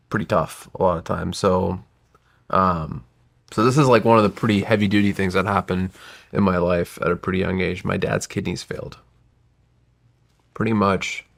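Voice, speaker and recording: A man in his forties whose first language was Gujarati talks as a podcast guest. His voice sits low and carries a gravelly rasp. He tells his story in a reflective, winding manner and keeps correcting himself. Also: fairly bright tone. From United States. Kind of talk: casual interview storytelling.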